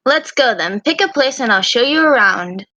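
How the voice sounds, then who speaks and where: Slightly high-voiced woman reading dialogue, close and dry. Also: expressive, moderate pace, bright, forceful tone, dry recording, muffled recording. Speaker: reader voicing female characters in a fan visual novel. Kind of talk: amateur character dialogue reading.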